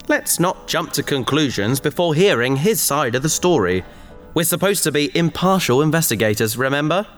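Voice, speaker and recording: A man's voice: on the high side, expressive, moderate pace, fairly bright tone, faint music behind. A man playing an earnest, bookish researcher in a sci-fi audio drama, polite and fussy with indignant sarcasm.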